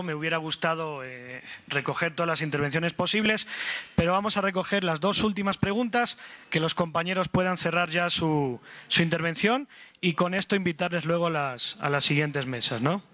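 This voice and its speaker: A man's voice: on the high side, sharp tone. A man moderating a conference question round in a polite, apologetic manner.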